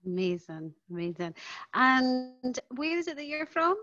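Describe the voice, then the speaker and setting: A woman's voice: high voice, big pitch swings, a little slow, muffled recording. A woman hosting a podcast, introducing and interviewing a guest in an encouraging way. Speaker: podcast host.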